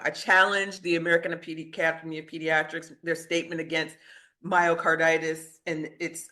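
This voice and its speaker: An earnest woman physician, middle-aged, talking as an interview guest. She tells her story in a steady conversational way and breaks into short laughs at her own boldness. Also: low-pitched.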